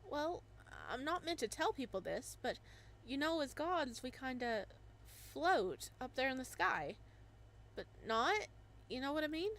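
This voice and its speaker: A sheepish, goofy young male god of winter stammers and rambles in a lively, fairly high voice, put on by a woman reading a visual novel. He trips over his words when embarrassed. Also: measured pace, heavily acted.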